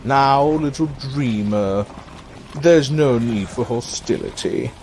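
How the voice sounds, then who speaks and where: A man's voice: medium pitch, slow, background noise. A man playing an ancient demonic villain in an audio drama, drawling, condescending and possessive, like a mocking suitor. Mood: silky coaxing. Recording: music bed under the voice.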